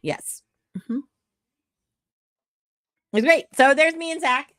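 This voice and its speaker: A woman with a loud voice telling a casual story, rambling with lots of "like" and acted-out dialogue. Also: high-pitched, slow pace, dry recording, studio quality. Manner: rambling, full of "like" and quoted dialogue. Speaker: podcast co-host.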